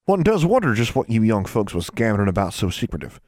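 A man voicing a stern, folksy great-grandmother with an Ozark accent, scolding in a high drawling voice. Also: very animated, quick pace, a little mellow, no echo, clean studio sound. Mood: curious. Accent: Ozark rural American. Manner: scolding, drawling, high put-on old-woman voice. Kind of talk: audiobook character voice.

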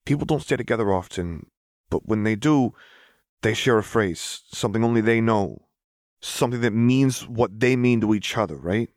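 A man performs a brash young man from the rough side of the city in an audiobook. He talks in casual, emphatic slang, exasperated and wry. The sound is close and dry. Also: fairly low pitch, animated, moderate pace, no room sound, voice pushed up, natural voice. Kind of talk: audiobook character dialogue.

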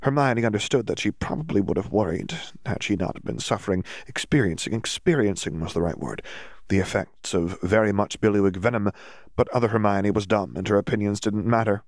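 A man's voice: on the low side, fairly quick, no room sound, clean studio sound. A man reading scripted fiction aloud as an audiobook narrator.

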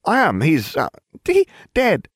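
A man voicing a giggly, airheaded young witch who punctuates her words with a squeaky tee-hee. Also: huge pitch swings, takes it slowly, slightly rough, dry recording, studio quality, heavily acted. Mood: gushing.

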